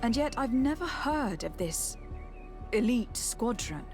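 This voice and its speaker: A woman's voice: fairly high pitch, very animated, measured pace, music in the background. An actress playing a poised, diplomatic noblewoman in a video game, speaking in a polite, formal, inquisitive way.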